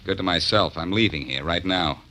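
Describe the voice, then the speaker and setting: A man's voice: low voice, moderate pace, sharp tone. An actor playing a cocky, wry tough-guy detective in 1940s radio crime drama style. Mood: flippant. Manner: wry, wisecracking.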